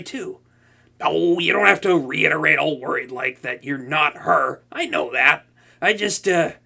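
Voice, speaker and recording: A man's voice: on the high side, vocal fry, sharp tone, exaggerated voice. A young man voicing a proud, tsundere male supervillain who cooks for a captive hero, stammering and easily flustered in a comedic audio roleplay. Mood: wistful. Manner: stammering, flustered, talks to an unseen listener.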